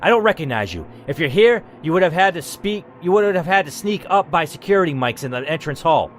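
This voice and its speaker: A man's voice: fairly high pitch, sing-song, a little fast, slight background noise, voice pushed up. A man voicing a harsh, suspicious young man holding a rifle, questioning strangers in a read-aloud game.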